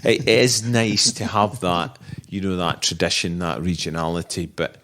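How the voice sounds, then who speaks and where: Very deep, gravelly-voiced man. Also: steady tone, a bit bright. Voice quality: husky. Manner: thoughtful, halting, many restarts. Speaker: distillery manager, podcast guest. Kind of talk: relaxed interview talk about whisky making.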